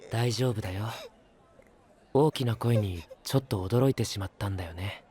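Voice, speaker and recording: An actor playing a calm, polite young guide in an anime voice drama, explaining things in a measured, earnest way. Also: male voice, fairly low pitch, breathy edge. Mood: gentle, reassuring. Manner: measured, explanatory, like reading a manual. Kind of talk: Japanese anime voice drama acting.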